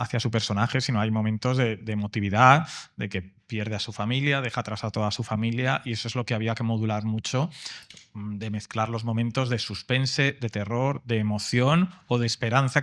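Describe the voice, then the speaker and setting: A man's voice: lowish voice, fairly bright tone. A man from explaining earnestly and conversationally as a panel speaker.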